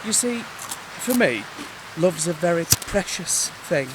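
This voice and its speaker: An actor playing a mopey, passive-aggressive British man in an audio comedy drama, deadpan and pointed. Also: sits high, slow, very noisy, heavily acted. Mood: wounded, mournful. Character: mopey, passive-aggressive man nursing his own heartbreak. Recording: rain and outdoor ambience behind.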